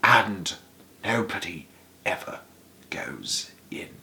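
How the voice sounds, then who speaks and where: Man acting an excitable old storytelling grandfather. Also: on the low side, gravelly, whispered, heavily acted. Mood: hushed, secretive. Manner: emphatic, dramatic, savouring pauses.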